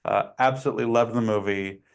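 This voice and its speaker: A man with a somewhat low voice interviews film actors. His questions are chatty and enthusiastic, and he uses many casual fillers. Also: fairly even intonation, brisk, creaky, clean tone.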